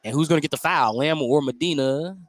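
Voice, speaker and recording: A man's voice: a little high, a little fast. An American man doing live radio play-by-play of a basketball game in clipped, descriptive phrases. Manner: clipped, descriptive running call. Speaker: play-by-play announcer.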